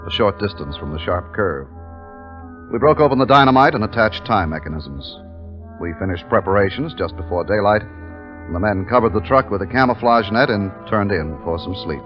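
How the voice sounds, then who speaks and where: A deep-toned army captain acted by a man narrates and commands with measured, deliberate authority. It is 1950s radio drama, on a dull old recording with music clearly behind the voice. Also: animated, close to an everyday voice. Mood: grim, measured. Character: weary, commanding British army captain narrating his wartime mission.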